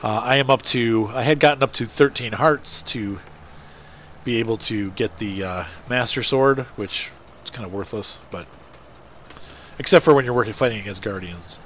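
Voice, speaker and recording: A man's voice: medium pitch, normal speed, fairly bright tone, a little hiss or hum. Stuffy-nosed man rambling over gameplay. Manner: rambling, chatty, many fillers. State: congested, stuffy nose. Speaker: gaming channel host. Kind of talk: casual gameplay commentary.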